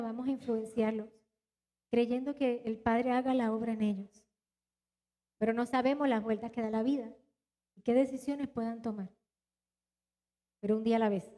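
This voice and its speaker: Animated high-voiced woman pastor. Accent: mixed Latin American Spanish, little Puerto Rican. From Puerto Rico. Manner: animated, talks straight to the congregation. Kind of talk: live church sermon and announcements. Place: church hall.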